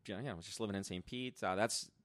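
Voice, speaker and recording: A man's voice: fairly low pitch, rapid-fire, fairly bright tone, dry recording. Colombian-born man in his fifties, easygoing. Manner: friendly, easygoing storytelling with fillers. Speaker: podcast guest, musician. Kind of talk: casual interview chat.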